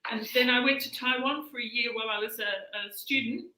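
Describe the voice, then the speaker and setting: A woman's voice: on the high side, steady tone, a little fast, sharp tone, a little hiss or hum. An Australian woman in her late fifties, giving a live cooking demonstration in a chatty, hesitant way with many fillers. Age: 50s. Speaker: cooking instructor. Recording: echoey room.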